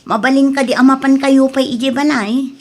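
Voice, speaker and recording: A woman acting as a worried grown daughter in a comedy radio drama. Her voice is moderately high as she earnestly explains herself. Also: fairly even intonation, moderate pace. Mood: worried, explaining.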